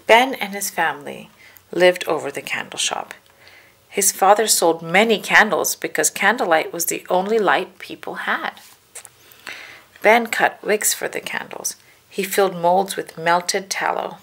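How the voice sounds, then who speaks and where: A woman narrating a children's storybook aloud with measured, deliberate phrasing. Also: lowish voice, vocal fry, fairly bright tone, slightly rough.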